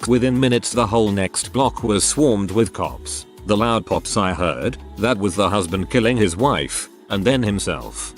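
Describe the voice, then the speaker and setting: A man's voice: on the low side, animated, measured pace, hardly any pauses, soft background music. A man reading online stories aloud as scripted narration.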